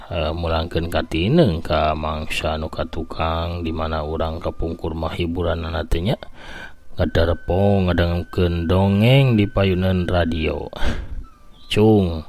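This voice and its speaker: Deep, slow Sundanese male storyteller. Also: darkish tone. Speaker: folk-tale storyteller, host. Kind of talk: dramatic storytelling in radio-tale manner.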